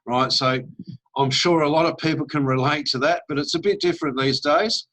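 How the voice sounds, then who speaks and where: A man's voice: on the high side, a little fast, sharp tone, poor audio. A middle-aged Australian man giving an upbeat, persuasive sales presentation with casual fillers. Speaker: network marketing presenter.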